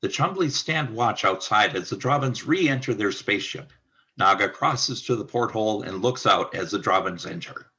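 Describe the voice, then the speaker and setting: A male narrator reads scripted stage action for a radio play. His voice is on the low side, with a bright, forward edge. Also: lively intonation, moderate pace, lo-fi sound.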